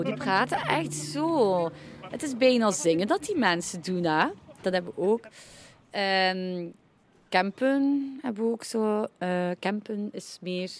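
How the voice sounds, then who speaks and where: A woman with a Ghent Flemish accent, chatting playfully and switching between languages in an interview. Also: medium pitch. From Flanders, Belgium. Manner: lively and playful, laughing.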